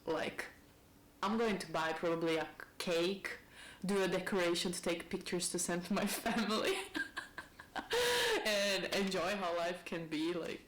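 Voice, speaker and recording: A woman's voice: fairly low pitch, very animated, measured pace, a bit bright, slight background noise, poor audio. A Brazilian woman in her thirties, speaking English as a second language with a heavy Brazilian Portuguese accent, thinking aloud in a rambling personal vlog at home. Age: 30s. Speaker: YouTuber.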